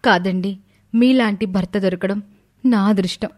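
A woman voicing a devoted, sensible young wife in an animated story, earnest and reassuring. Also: mid-range voice, measured pace, slight background noise, natural voice.

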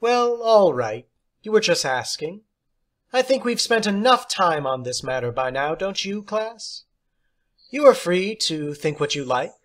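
A man's voice: sits high, sing-song, a little slow, voice pushed up. A man voicing an eccentric, jolly woman substitute teacher in an audiobook story, precise, theatrical and condescending. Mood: airy, breezy.

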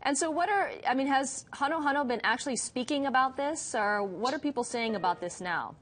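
A woman news anchor in a TV studio, leading a live morning interview with crisp, polished delivery. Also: sits high, a little fast, a bit bright. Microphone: lapel mic.

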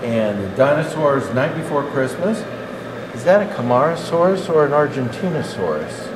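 An older man reading a children's rhyming storybook aloud as Santa Claus, in a measured, expressive delivery. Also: medium pitch. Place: indoor natural history museum hall. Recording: echoey hall, clearly noisy background.

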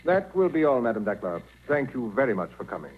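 A muffled old radio recording of a fussy museum scholar. His mid-pitched voice moves animatedly, formal and precise in 1940s drama style. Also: big pitch swings, fast talker, breathy edge. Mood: fussy, polite.

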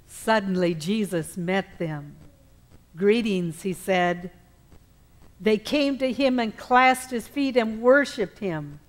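Woman, middle-aged, church scripture reader, unhurried pace, medium pitch, formal, expressive phrasing. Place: church sanctuary, at the pulpit.

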